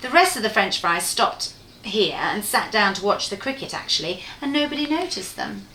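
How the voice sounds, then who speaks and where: A woman reading a children's bedtime story aloud in an expressive, playful way, speaking directly to her listener. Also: mid-range voice, moderate pace, slight background noise. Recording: roomy, echoey room sound.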